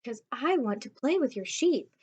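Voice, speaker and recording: A woman's voice: sits high, lively intonation, moderate pace, darkish tone, slightly rough, voice pushed up. A woman voicing a pushy, mischievous little girl with a scratchy voice in a storybook reading.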